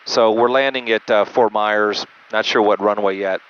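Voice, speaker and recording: A man who pilots a jet, giving an unscripted, methodical instructional walkthrough with halting speech over a cockpit headset intercom. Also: on the low side, steady tone, a bit bright, smooth. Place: small jet cockpit in flight. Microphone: aviation headset through intercom. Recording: muffled band-limited cockpit headset audio, engine noise.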